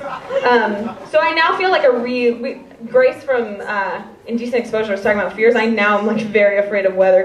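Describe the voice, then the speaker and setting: A woman in her twenties with a Chicago accent doing a stand-up set, rambling and self-deprecating with many fillers. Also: fairly high pitch. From Chicago, US Midwest. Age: 20s. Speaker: stand-up comedian. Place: stage in a hall. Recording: roomy, distant, dull-sounding live recording.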